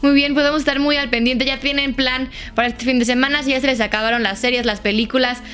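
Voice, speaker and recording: Fast-talking Mexican woman hosting a show. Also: high-pitched, steady tone, a bit bright, soft background music. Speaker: talk show host. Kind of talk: casual radio hosting.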